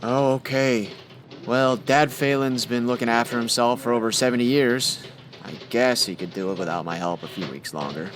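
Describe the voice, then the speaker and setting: A man's voice: mid-range voice, moderate pace, music in the background, lightly acted. An actor playing a skeptical, wry male newspaper crime photographer in old-time radio drama style.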